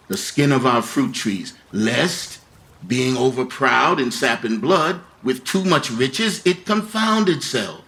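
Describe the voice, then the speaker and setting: A man playing a grave, philosophical gardener in a Shakespearean radio play, speaking sorrowful verse in a measured, solemn way. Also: mid-range voice, fairly bright tone.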